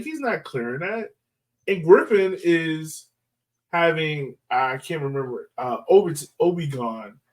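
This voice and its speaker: Male podcast co-host, slightly high voice, a bit back from the mic, informal and emphatic fan analysis. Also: distant mic. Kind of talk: casual sports analysis chat.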